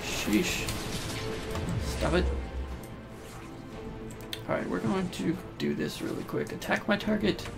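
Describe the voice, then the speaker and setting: Creaky-voiced man doing game commentary. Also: high-pitched, very animated, slow pace, pauses often. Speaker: gaming video host. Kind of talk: casual let's play commentary. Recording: loud game music over the voice. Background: loud game music and sounds.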